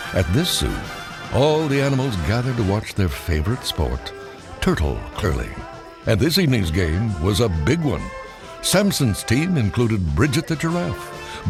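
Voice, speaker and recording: A male narrator tells a children's story in a read-along style. His delivery swings expressively up and down, with clear music playing behind him. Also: on the low side.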